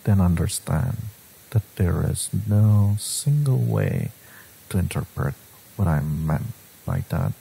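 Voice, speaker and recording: Villain love interest for romance audio drama, male actor: gravelly, unhurried, possessive, intense. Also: low-pitched, very animated, warm tone, heavily acted, poor audio. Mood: ominous, possessive. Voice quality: husky, gravelly. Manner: slow, deliberate, intimate, intense. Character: brooding, devoted, possessive male villain lover.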